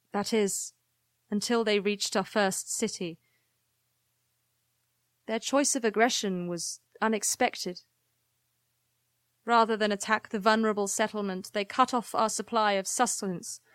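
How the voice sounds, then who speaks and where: A woman playing a grave, weary historian of a plant people, giving formal, measured testimony in an audio drama. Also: medium pitch, dry recording, lightly acted.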